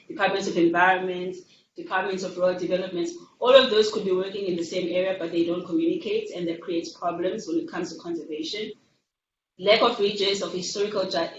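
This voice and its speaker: Roomy and slightly dull recording, woman, South African accent, academic presenter, explanatory, plain-spoken. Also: medium pitch. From Durban, South Africa. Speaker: university faculty member, researcher. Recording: roomy, distant, muffled, faint noise.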